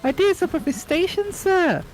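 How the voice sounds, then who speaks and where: A woman voicing a timid female house elf servant with a high, quivering squeak and broken, deferential grammar. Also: expressive, normal speed, rough recording. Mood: timid servile.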